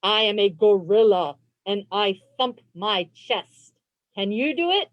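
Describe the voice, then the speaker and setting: Lively, unhurried woman reading to children. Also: medium pitch, dry recording, highs cut off. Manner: expressive, encouraging reader. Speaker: librarian reading aloud. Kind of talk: children's picture book read-aloud. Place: indoors on a video call.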